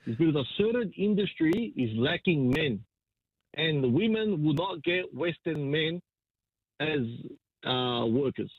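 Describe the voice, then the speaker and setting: An excitable man, calling in to a radio show and bantering with the host. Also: measured pace, no room sound. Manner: excitable, voice jumps high when worked up. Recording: tinny phone line.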